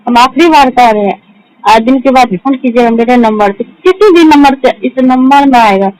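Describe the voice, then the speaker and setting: A woman's voice: fairly high pitch, moderate pace, darkish tone, no echo. A young woman arguing privately on a recorded phone call, refusing in a curt manner.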